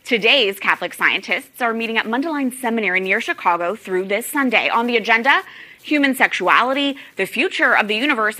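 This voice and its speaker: A woman host delivers a scripted news introduction in a polished, measured way. Her voice is fairly high and very bright. The reading stays even from line to line.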